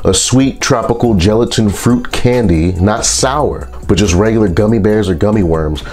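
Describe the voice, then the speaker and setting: A man with a congested, stuffy voice from a sinus infection, reviewing products in a relaxed, rambling vlog. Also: fairly low pitch, moderate pace. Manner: relaxed, rambling, with frequent fillers.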